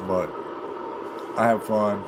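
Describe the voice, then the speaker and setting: An American man chatting casually on a livestream, rambling in a halting, unhurried way as he thinks aloud. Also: fairly low pitch. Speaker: amateur livestreamer and CB radio hobbyist. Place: home basement. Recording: loud steady background noise.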